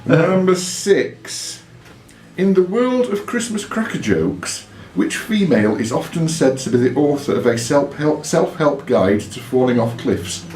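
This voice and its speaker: A man's voice: fairly high pitch, sing-song, a little slow, darkish tone. A man hosting a quiz, reading out questions in a live, informal way and judging the answers. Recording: roomy echo, faint music behind.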